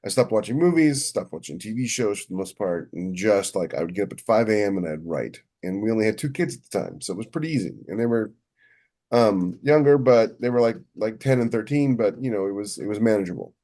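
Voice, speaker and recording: A man's voice: fairly low pitch, animated, fairly quick, warm tone. A middle-aged man talking candidly and in a rambling way on a casual podcast, as a co-host who writes novels.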